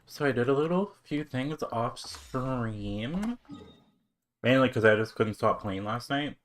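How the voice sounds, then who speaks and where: A man's voice: medium pitch, lively intonation, unhurried. A man livestreaming a game with casual, slangy, chatty commentary.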